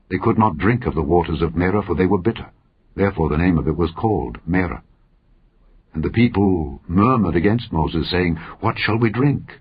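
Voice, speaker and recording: Low-voiced man reading scripture, slightly husky. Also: dull audio. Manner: measured, declamatory, in short phrases. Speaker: audiobook Bible narrator.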